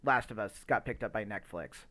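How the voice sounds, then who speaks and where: A man's voice: on the low side, normal speed, bright, forceful tone. A man co-hosting a podcast in a casual discussion, talking in a rambling, hesitant way with lots of filler words. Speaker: podcast co-host.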